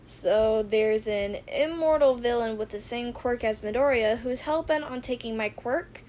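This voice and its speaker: Woman voicing a measured, blunt teenage frog girl. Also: on the high side, darkish tone, slight background noise, lightly acted.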